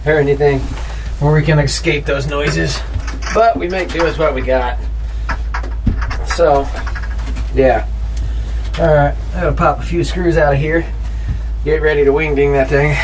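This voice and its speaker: A man's voice: a little high, fairly even intonation, unhurried, pauses often, recorded from a distance. A man in his thirties chatting casually in a folksy, slangy, easygoing way for a DIY vlog. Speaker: vlogger and channel host. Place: small plywood-lined camper shell on a car. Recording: clearly noisy, with ambient background sound.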